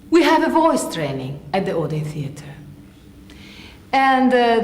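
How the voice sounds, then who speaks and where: A woman whose first language is Italian, an actress explaining her work in plain, slightly broken English with an Italian accent. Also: a little high. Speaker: stage actress. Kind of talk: work demonstration lecture. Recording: echoey hall with faint noise.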